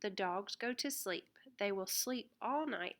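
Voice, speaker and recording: A woman reading a children's picture book aloud in an expressive, deliberate way. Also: medium pitch. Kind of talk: read-aloud children's storybook narration.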